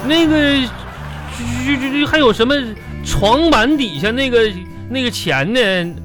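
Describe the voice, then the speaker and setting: A sheepish husband caught out in a comic radio play, speaking with a Northeastern Chinese accent. He swoops around animatedly, stammering excuses and protests over clearly audible music. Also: very high-pitched, lively intonation, measured pace. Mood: flustered, defensive. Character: flustered, sheepish husband hiding money, making excuses. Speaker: radio comedy host and actor. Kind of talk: live comedic radio drama dialogue.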